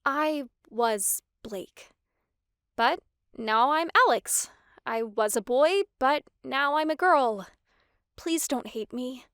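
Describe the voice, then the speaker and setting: A woman's voice: sits high, slow, fairly bright tone, smooth, no echo, clean studio sound, exaggerated voice. A woman voicing an anxious, embarrassed teenage cat girl in a comic dub, hesitant and confessional. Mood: ashamed, pleading.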